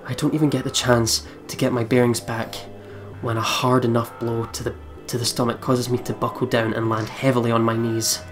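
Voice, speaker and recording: A let's play host reading visual novel narration aloud in a dramatic, deliberate way. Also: medium pitch. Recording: music behind the voice. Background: game music.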